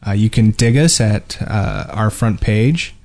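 A man co-hosting a podcast in casual chat, speaking in a somewhat hesitant, halting way. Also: fairly low pitch, darkish tone. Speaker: podcast co-host.

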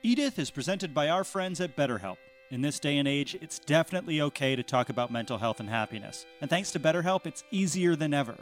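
A man's voice: fairly high pitch, expressive, fast talker, soft background music. A man who makes the podcast, delivering a conversational sponsor read. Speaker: podcast creator reading a sponsor message.

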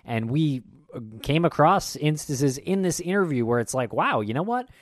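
A man's voice: fairly high pitch, sing-song, normal speed, no echo, studio quality. A man co-hosting a podcast, talking in an easygoing way with frequent fillers. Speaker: podcast co-host. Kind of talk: casual podcast chat.

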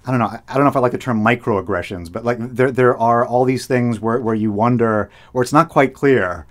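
A man's voice: mid-range voice, fairly quick. A middle-aged man from the United States, talking casually in an interview, rambling with restarts, fillers and frequent laughs. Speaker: radio host, interviewee.

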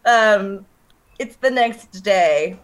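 A woman's voice: mid-range voice, expressive. Woman player narrating her scene, lots of ums, casual. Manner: halting, casual narration with fillers.

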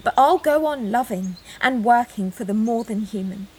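A woman's voice: fairly high pitch, expressive, normal speed, slightly rough. A woman acting in an audio drama as an earnest, self-sacrificing woman, solemn and declamatory. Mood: solemn, resolute. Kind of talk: acted audio drama monologue.

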